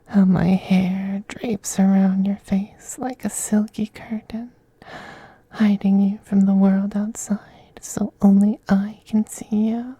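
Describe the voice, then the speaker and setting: A performer as seductive male femboy vampire, dark mellow breathy whisper, possessive, teasing, intimate ASMR roleplay. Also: medium pitch, little pitch movement, no room sound. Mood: seductive, commanding. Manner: slow, teasing, gently domineering coaxing.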